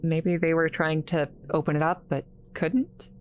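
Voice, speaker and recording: A woman playing an awkward, kind scientist in a roleplay audio drama, halting and apologetic, stammering as she talks to the listener. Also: sits low, steady tone, slight background noise. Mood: apologetic, flustered.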